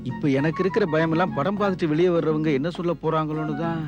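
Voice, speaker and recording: Nervous production manager, TV comedy drama played by a man: slightly high voice, wry, music underneath. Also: fairly even intonation, fast, muffled recording, lightly acted. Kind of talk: Tamil television serial comic acting.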